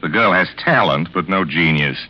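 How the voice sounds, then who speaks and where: An actor playing a condescending, gloating theatrical murderer with a grandiloquent, mocking delivery, in 1940s radio drama style. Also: male voice, sits low, animated, moderate pace. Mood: smug, mocking. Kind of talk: 1940s radio suspense acting. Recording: dull, noisy vintage radio recording.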